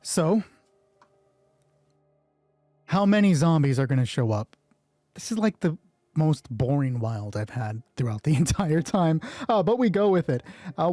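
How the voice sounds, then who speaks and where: A man's voice: fairly high pitch, warm tone, no room sound. A man casually narrating an improvised solo roleplay game, thinking aloud and swearing offhandedly.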